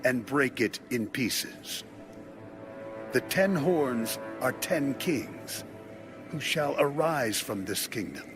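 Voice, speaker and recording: A man narrating an audio Bible in a solemn, dramatic, deliberate way. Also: medium pitch, animated. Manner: measured, deliberate delivery. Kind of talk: solemn dramatic scripture reading. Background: music bed.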